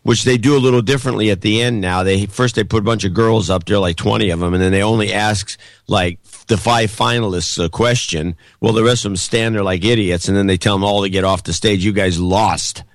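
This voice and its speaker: A man with a cold, his voice gravelly and hoarse, pushing hard and almost shouting through casual opinionated chat as a podcast co-host. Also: on the low side, steady tone, fairly quick, no room sound. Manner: loud, pushing air, close to shouting.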